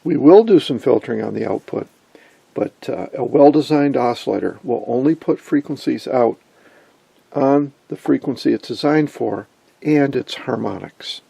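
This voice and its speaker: Gravelly, husky man, unhurried. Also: medium pitch, warm tone, no echo. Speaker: electronics hobbyist presenter. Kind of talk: informal hands-on tutorial narration. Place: indoor electronics workbench.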